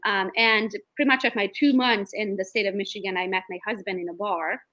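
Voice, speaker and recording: A woman in her late thirties from Romania with a Romanian accent, a guest on a casual video-call interview, telling her story in a lively way and laughing often. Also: medium pitch, fairly quick. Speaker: guest realtor. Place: home room, indoors. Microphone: laptop webcam on video call.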